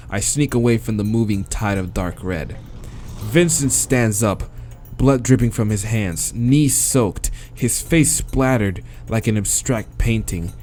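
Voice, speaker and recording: An American man reading a visual novel aloud as a let's-play host, dramatic in the narration and breaking into slangy, expressive asides. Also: fairly low pitch. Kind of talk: dramatic read-aloud with casual reactions.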